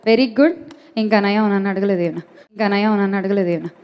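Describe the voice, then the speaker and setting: A woman's voice: mid-range voice, fairly even intonation, quick pace, noisy background. A woman speaking as an interviewer, putting questions and reacting to the answers.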